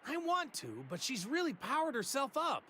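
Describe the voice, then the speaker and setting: A high-voiced, upbeat martial arts hero. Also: male voice, wildly swooping pitch, moderate pace, sharp tone, slight background noise, heavily acted. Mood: earnest, determined. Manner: eager, earnest, emphatic. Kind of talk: English anime game dub acting.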